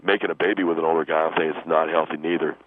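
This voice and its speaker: A smug young self-styled stud, played by a man for call-in radio comedy. He has a low voice and brags in complete earnest, stumbling over his uhs. The sound is muffled and lo-fi, like a radio phone-in. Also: fairly even intonation, rapid-fire, a little hiss or hum. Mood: defensive. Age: young adult. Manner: boastful, earnest, hesitant with many uhs. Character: vain, cocky young ladies' man, self-styled beach hunk.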